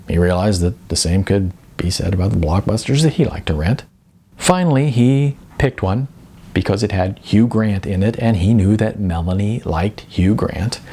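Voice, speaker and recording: Lively low-voiced male narrator. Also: husky. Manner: expressive, storytelling delivery. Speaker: audiobook narrator. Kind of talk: scripted narration of a novel.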